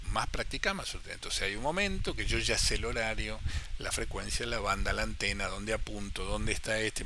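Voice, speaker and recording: Bright-voiced chatty Rioplatense man. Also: medium pitch, a little hiss or hum. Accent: Rioplatense Spanish. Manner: chatty, repeats phrases, acts out imagined questions. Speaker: amateur radio instructor. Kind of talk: casual how-to talk.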